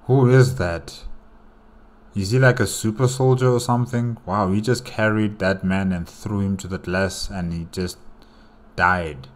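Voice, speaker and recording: A man's voice: fairly low pitch, fairly even intonation, a little slow. A man giving casual reaction commentary in offhand, muttered remarks. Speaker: reaction video host. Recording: clear background noise.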